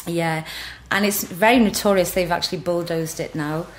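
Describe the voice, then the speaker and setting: A woman's voice: lowish voice, steady tone, normal speed, low-quality recording. A middle-aged Welsh woman, a podcast guest, telling stories frankly with easy laughs. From Wales. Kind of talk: casual chat. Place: office.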